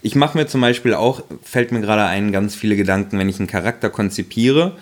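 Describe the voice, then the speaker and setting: A man's voice: lowish voice, fast talker. A man co-hosting a podcast, talking casually and rambling with lots of fillers and restarts. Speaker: podcast co-host. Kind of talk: casual chat.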